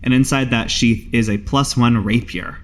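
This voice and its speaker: Male game master, mid-pitched, casual improvised narration for tabletop roleplaying. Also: steady tone, moderate pace.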